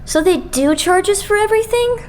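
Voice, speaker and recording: A woman voicing a blunt, excitable, skeptical young idol in an anime fandub. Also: extremely high pitch, a little fast, slight background noise. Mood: puzzled. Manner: blunt, expressive. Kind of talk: anime game fandub acting.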